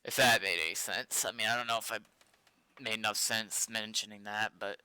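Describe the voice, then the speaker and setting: An American man talks off the cuff as he works on a stream, circling his thoughts in loose, hesitant phrases. His voice sits a little low yet has a very bright, sharp edge. It is smooth and clear, recorded close and dry. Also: steady tone, normal speed, dry recording. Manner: hesitant, rambling, loosely worded. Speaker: game developer live-streaming. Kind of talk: casual rambling chat while coding.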